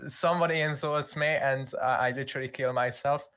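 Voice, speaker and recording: A man's voice: medium pitch, steady tone, brisk, a bit bright, slight background noise. A man with a mid-range voice banters as a tabletop game player. His delivery is hesitant, with stammers and words repeated as he finds his way through a sentence.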